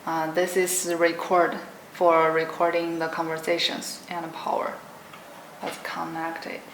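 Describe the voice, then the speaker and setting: A young woman giving an unscripted product demonstration in short, simple, halting phrases. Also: deep voice, monotone. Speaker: product demonstrator for an electronics brand. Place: indoor room at a table with green backdrop. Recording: roomy echo, clearly noisy background.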